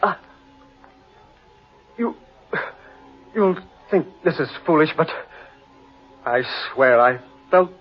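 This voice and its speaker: An excitable gentleman in a vintage radio horror play. His voice sits fairly high and swings widely, with theatrical exclamations. The recording is muffled, with faint music underneath. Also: slow, pauses often, breathy edge, full character voice. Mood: rising panic. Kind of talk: old-time radio horror acting.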